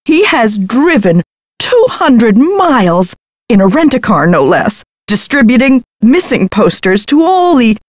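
A woman's voice: on the high side, sing-song, unhurried, dry recording, clean studio sound. An actress playing a slick, self-important female spokeswoman in a video game, with a grandiose, theatrical manner. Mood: grandiose, solemn.

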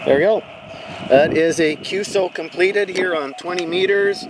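A man's voice: high voice, moderate pace. A middle-aged man from Canada giving casual explanatory commentary as an amateur radio operator outdoors. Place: outdoors in a yard at a wooden table. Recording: very noisy outdoor recording, radio Morse tones. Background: outdoor noise, radio static and Morse beeps.

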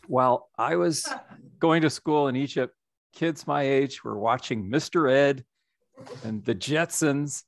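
A middle-aged man, a nonprofit executive director, giving a reflective, measured story-led presentation over a video call. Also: medium pitch, creaky, no room sound. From United States.

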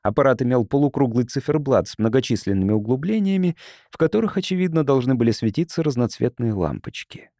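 A man's voice: medium pitch, expressive, fast, few pauses, warm tone, no echo, clean studio sound. A man reading a science fiction story as a scripted audiobook narration.

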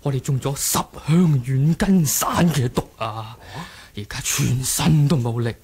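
Bewildered junior fighter, 1980s radio drama, male actor: weakened, pleading, medium pitch. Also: unhurried, darkish tone, close to an everyday voice. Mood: weak pleading.

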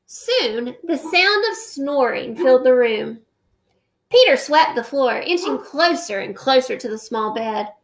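A woman in her thirties, a teacher, reading a children's picture book aloud on a webcam at home with expressive, drawn-out emphasis. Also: high-pitched. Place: indoor room at home. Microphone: webcam at close range.

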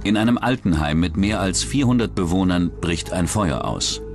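A man's voice: low voice, expressive, normal speed. A man reading scripted true-crime documentary narration as the narrator. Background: background music.

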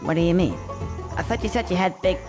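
A man's voice: sits high, expressive, fast, music in the background. A man playing a hesitant, sceptical young squirrel in a comic video game, with a dry, offhand delivery. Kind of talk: video game cutscene acting.

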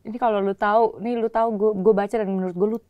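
A young woman in her twenties, a podcast guest from East Nusa Tenggara, telling a story in casual chat, animated and quick to laugh. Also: mid-range voice, dull audio. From East Nusa Tenggara, Indonesia. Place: small restaurant-style studio set, indoors.

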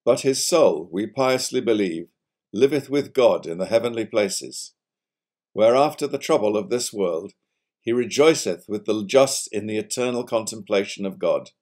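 A man giving a solemn, formal reading of an old historical account in a measured, declamatory way. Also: lowish voice, lively intonation, a little mellow. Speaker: college provost giving a reading.

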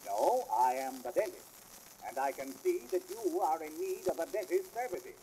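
A hissy vintage 78 rpm comedy record features a courteous, formal dentist. His voice is fairly high and mellow, swinging expressively as he phrases things precisely. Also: sing-song, moderate pace, poor audio. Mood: polite, businesslike. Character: polite, formal male dentist, patient and businesslike. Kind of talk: 1910s comic sketch record acting.

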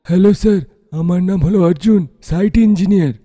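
A man playing a timid, deferential young engineer in an animated horror story, anxious and respectful. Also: high voice, quick pace.

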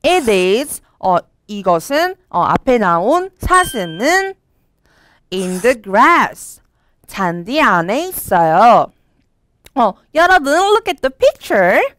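A young woman teaching children English from a storybook, reading each line slowly and clearly and then explaining it. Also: on the high side, sing-song, fairly bright tone, no room sound. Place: studio with a green screen.

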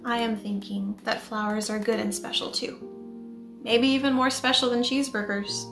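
A woman's voice: fairly high pitch, steady tone, moderate pace, lightly acted. A woman voicing a hungry, hopeful male gardener who speaks plainly and wistfully in a storybook reading. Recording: echoey room with faint music.

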